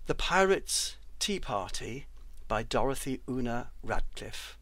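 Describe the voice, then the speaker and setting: A man reads verse expressively at a slow pace, shaping each word with care. His voice sits at a middling pitch, and the recording is dry and close. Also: expressive, a bit bright, dry recording. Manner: careful, deliberate articulation. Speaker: poetry reader who has trained actors. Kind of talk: expressive poetry recital.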